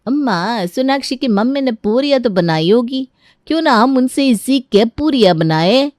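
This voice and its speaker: Woman playing a very high-pitched young daughter-in-law. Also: animated, normal speed, a little mellow, dry recording, studio quality, natural voice. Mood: eager. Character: young daughter-in-law, earnest, pleading, eager. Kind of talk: Hindi cartoon story voice acting.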